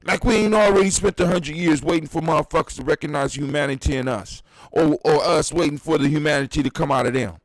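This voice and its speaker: A man in his fifties hosting a casual talk show, ranting in an animated, profane and emphatic way. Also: fairly high pitch, fast talker, dry recording, rough recording. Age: 50s. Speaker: podcast host. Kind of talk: casual chat.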